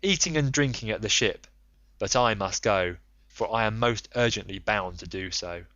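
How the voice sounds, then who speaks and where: A man's voice: fairly low pitch, moderate pace, bright, forceful tone, no echo, muffled recording. Guy doing a determined hero captain in an old epic audiobook, firm, dry dullish sound. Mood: resolute. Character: resolute heroic ship captain, ancient warrior king. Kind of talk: audiobook character reading.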